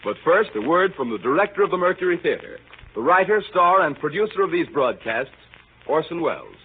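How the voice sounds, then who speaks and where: A man's voice: on the high side, sing-song, a little fast, phone-quality sound, slight background noise. A man announcing a radio program in a formal, scripted 1930s style. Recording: band-limited 1930s radio, music behind.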